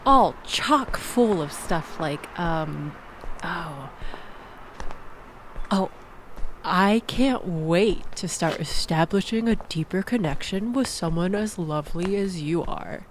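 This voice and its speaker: A woman's voice: on the low side, expressive, slow pace, a little hiss or hum, rough recording. A woman playing a chatty, exasperated young woman in a casual audio roleplay, rambling and self-deprecating as she tells her story. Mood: incredulous, animated. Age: young adult.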